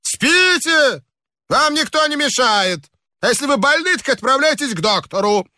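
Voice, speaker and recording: A man's voice: extremely high pitch, expressive, normal speed, sharp tone, pitched above the performer's own voice, exaggerated voice. A man voicing an indignant male reveler who snaps back at a complaining neighbor with scorn. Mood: scornful. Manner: scornful retorting.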